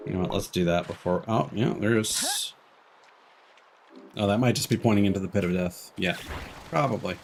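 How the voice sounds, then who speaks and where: A man's voice: lowish voice, moderate pace, vocal fry, pauses often, slight background noise. A man streaming a video game with casual commentary, wry and often muttering to himself as he plays. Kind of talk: casual gameplay commentary, reading dialogue aloud. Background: faint game music.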